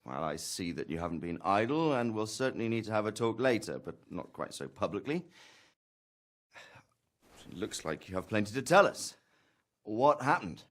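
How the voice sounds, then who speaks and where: A man's voice: fairly low pitch, big pitch swings, normal speed, pauses often, a bit bright. An actor playing a composed, dignified lord and reserved father in a video game. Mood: reserved, measured. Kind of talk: video game cutscene acting.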